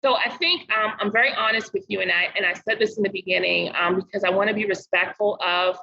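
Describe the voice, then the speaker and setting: Middle-aged woman from Barbados; podcast guest; bright, raspy tone with some fry; earnest and deliberate. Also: medium pitch, fairly even intonation, dull audio. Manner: earnest, measured, slows down to stress points. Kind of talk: casual interview talk.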